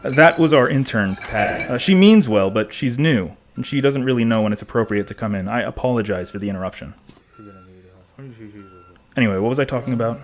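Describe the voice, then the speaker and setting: Actor as a pensive mid-voiced podcast host. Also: male voice, medium pitch, lively intonation, fairly quick, slight background noise, natural voice. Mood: pensive, conversational. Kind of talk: conversational scripted monologue in audio drama.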